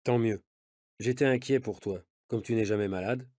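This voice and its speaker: A man reading a novel's dialogue aloud for language learners, speaking in a measured, clear way. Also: lowish voice, a little mellow, dry recording. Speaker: audio reader of a learner's novel.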